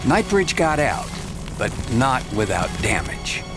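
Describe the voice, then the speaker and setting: An actor playing a hard-boiled investigator who narrates a dystopian audio drama in clipped noir style. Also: male voice, mid-range voice, big pitch swings, slow pace, creaky, over music, exaggerated voice. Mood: grave.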